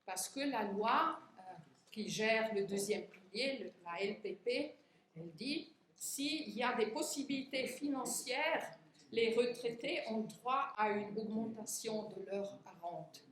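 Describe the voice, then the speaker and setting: A woman's voice: a little high, takes it slowly, fairly bright tone. A middle-aged woman from Switzerland giving a talk in French, which is not her first language, hesitating often with fillers and restarts. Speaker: conference speaker. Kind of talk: lecture to union retirees. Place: conference hall. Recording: echoey hall, faint room noise.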